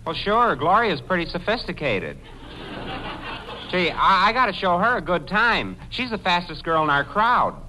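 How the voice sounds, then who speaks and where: Casting: eager, whiny teenage boy, highish forceful voice, slangy and lovestruck, for vintage radio comedy. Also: very animated, normal speed, full character voice. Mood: eager, wheedling. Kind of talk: 1940s radio comedy acting. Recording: slightly noisy vintage radio broadcast.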